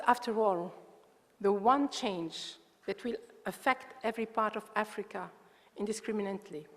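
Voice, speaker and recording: A mature woman delivers a prepared speech at a conference. Her voice sits fairly high, and she sets out each phrase in a measured, earnest, formal way. The large hall adds a long reverberant tail to her words. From Tunisia. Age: 50s or older. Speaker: water agency coordinator, conference speaker.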